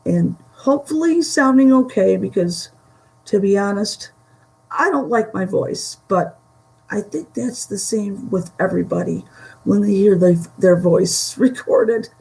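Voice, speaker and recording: A woman from the Buffalo area with a Western New York Great Lakes accent, chatting slowly with many pauses on a live stream from home. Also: mid-range voice, lively intonation, darkish tone, low-quality recording. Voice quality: gravelly. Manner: slow, halting, many fillers. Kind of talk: casual live stream chat. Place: home room.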